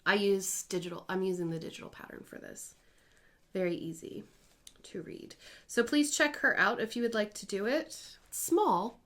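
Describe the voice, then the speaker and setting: A woman in her thirties chats at home about her stitching projects. She rambles and corrects herself as she goes, in an easygoing, conversational way. Her voice sits at a middling pitch. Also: normal speed, fairly bright tone. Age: 30s. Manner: chatty and rambling, with self-corrections. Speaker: cross-stitch vlogger. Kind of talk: casual chatty crafting vlog.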